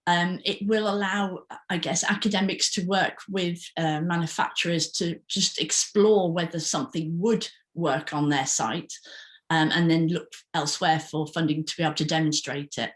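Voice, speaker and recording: A woman's voice: fairly low pitch, steady tone, normal speed. A woman answering audience questions in an online workshop, careful, with many ums and rephrasings. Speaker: invited speaker.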